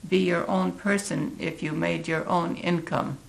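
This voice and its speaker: An elderly American woman in her eighties recalling her life in a reflective interview, halting and thoughtful, with a gravelly, creaky voice. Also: lowish voice, fairly even intonation, low-quality recording. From Oregon, United States. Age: 80s. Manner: halting, deliberate, thoughtful pauses. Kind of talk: reflective oral history interview.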